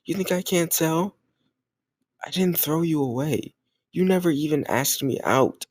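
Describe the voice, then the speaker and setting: A man's voice: a little high, measured pace, breathy edge, no room sound, studio quality. A man playing a cocky, popular teenage football captain bully with a secret tender side, teasing and hesitant in an intimate audio roleplay spoken to the listener. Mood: smug, teasing. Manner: teasing, smug, hesitant murmured asides.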